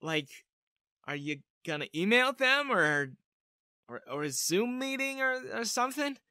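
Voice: medium pitch, expressive, slow pace, sharp tone, dry recording, studio quality. A narrator voicing a flustered, timid, reluctant ordinary character who stammers and hesitates in storybook dialogue. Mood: nervous, hesitant. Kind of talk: audiobook-style character dialogue.

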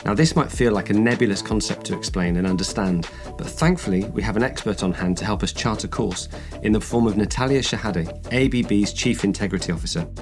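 A man's voice: lowish voice, a little fast, music in the background. A man hosting a corporate podcast, reading his introductions and questions in a polished, scripted way. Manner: polished, read-from-script delivery. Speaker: podcast host.

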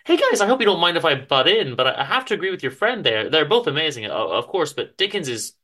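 A man's voice: a little high, big pitch swings, fast talker, bright, forceful tone, pitched above the performer's own voice, exaggerated voice. A man voicing a friendly, eager young woman who loves books, polite and a little hesitant, in a story reading.

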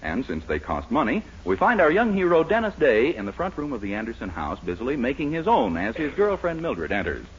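A man's voice: mid-range voice, wildly swooping pitch, brisk, hardly any pauses, slight background noise. A man working as a radio announcer and narrator, with polished, theatrical 1940s scripted delivery. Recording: muffled old radio broadcast recording.

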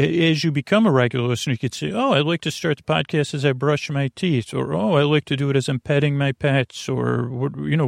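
Gravelly, meandering male podcast host. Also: mid-range voice, no room sound, studio quality. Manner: halting, meandering, repeats and trails off mid-sentence. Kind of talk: rambling, informal sleep podcast introduction.

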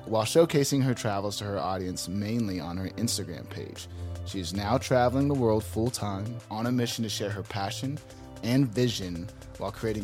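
Upbeat low-voiced male podcast host. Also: darkish tone. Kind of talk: podcast interview.

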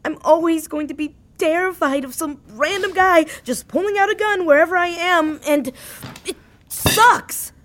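A woman playing an anxious, grief-stricken young woman in an audio drama, speaking haltingly and repeating words. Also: extremely high pitch, fairly bright tone. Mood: distraught. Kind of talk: naturalistic audio drama acting.

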